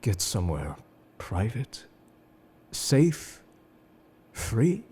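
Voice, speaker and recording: A man voices an enigmatic, suave male secret agent in an intimate audio drama. His voice sits fairly low with a husky grain, and it swings widely in pitch as he coaxes and flirts. Beneath the theatrical charm he is quietly weary of the world. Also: sing-song, extremely slow, exaggerated voice. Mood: weary, earnest. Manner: smooth-talking, coaxing, theatrical. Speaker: voice actor in fan audio roleplay. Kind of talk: intimate scripted roleplay addressed to the listener.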